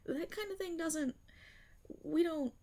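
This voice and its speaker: A woman voicing a timid young half-dragon rogue in an intimate ASMR roleplay, breathy and hesitant, anxious but proud, stammering and rambling. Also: very high voice, slow pace, warm tone, a little hiss or hum, heavily acted. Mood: defensive, flustered. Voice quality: breathy, often slipping toward a whisper. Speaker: roleplay voice performer.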